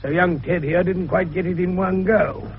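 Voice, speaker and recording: A man's voice: high voice, steady tone, normal speed, a little hiss or hum. A man playing a jovial, shrewd older British doctor turned detective in 1950s radio drama, avuncular, folksy and teasing. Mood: explaining triumphantly. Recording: dull vintage radio recording.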